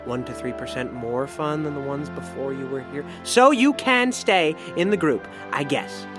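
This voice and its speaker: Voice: mid-range voice, lively intonation, over music, natural voice. An actor playing a grudging, pompous male gamer who plays a mage in an audio drama, speaking haughtily. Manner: haughty, halting when conceding. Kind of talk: scripted audio drama acting.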